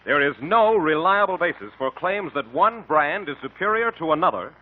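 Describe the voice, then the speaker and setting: A male radio announcer from the 1950s, delivering scripted narration formally and dramatically. His pitch moves widely with emphatic phrasing. The recording is thin and band-limited. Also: expressive, normal speed. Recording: thin lo-fi 1950 radio broadcast.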